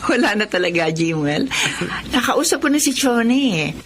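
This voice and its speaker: A woman's voice: mid-range voice, sing-song, normal speed, slight background noise, natural voice. A middle-aged woman with a Filipino accent playing a long-suffering, worried wife and mother in a radio drama. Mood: relieved. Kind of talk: Tagalog radio drama acting.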